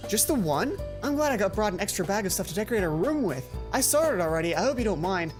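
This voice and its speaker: A woman acts out an excitable schoolgirl from a story game, chatty and teasing. She is perky and eager to befriend. Music plays clearly behind. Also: mid-range voice, fast, close to an everyday voice. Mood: friendly, eager.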